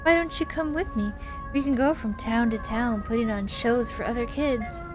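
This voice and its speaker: A woman's voice: a little high, normal speed, natural voice. A woman voicing an earnest, eager child in a horror visual novel. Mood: eager, dreamy. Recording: music behind the voice.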